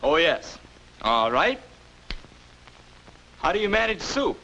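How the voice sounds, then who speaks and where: Lively, upbeat young 1950s mentor. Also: male voice, a little high, slow, heavily acted. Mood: encouraging. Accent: American. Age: 20s. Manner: instructive, encouraging, neatly spoken. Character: patient, upbeat 21-year-old older self mentoring a boy. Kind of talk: 1950s educational film acting. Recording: noisy old 1950s film soundtrack.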